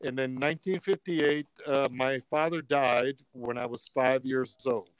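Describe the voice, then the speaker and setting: An older man born in Italy, a comedian telling stories in a casual chat, animated and full of restarts. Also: mid-range voice, unhurried, smooth, dry recording, poor audio. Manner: animated storyteller, many restarts and fillers. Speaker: comedian guest.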